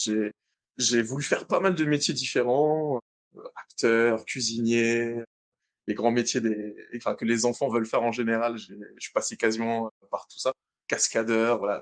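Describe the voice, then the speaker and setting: Relaxed French man telling his story. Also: medium pitch, normal speed. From France, born in Madagascar. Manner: relaxed, many restarts. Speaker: guest. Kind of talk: interview.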